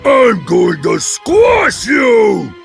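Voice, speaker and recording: An actor playing a big, gruff, boastful ogre with a growling, gravelly voice in a children's animated fairy tale. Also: male voice, extremely high pitch, soft background music, heavily acted. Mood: threatening. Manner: slow, loud, bragging.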